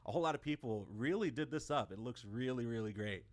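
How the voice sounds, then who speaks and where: A man's voice: medium pitch, animated, fast. A man co-hosting a livestream, chatting casually and enthusiastically agreeing with his co-presenter. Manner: agreeable, enthusiastic, frequent backchannels. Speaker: livestream co-host.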